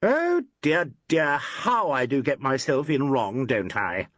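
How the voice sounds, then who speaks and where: A man's voice: a little high, big pitch swings, moderate pace, a bit bright, dry recording, pitched above the performer's own voice. A man voicing a needling, theatrical actor who coos and teases. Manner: teasing, cooing.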